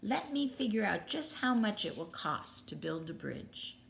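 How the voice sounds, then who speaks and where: Old male carpenter, storybook female performer: kindly, hesitant, drawn-out pondering, noisy recording. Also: medium pitch, big pitch swings, voice pushed up. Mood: welcoming, kindly. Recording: clearly noisy background.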